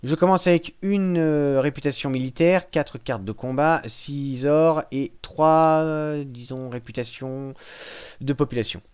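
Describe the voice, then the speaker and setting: A man casually walking viewers through a strategy video game, joking at his own expense and pausing often with fillers. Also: fairly high pitch, a little mellow, clean tone. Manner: self-mocking, hesitant with frequent fillers. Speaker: gaming channel host. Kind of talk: casual gameplay walkthrough.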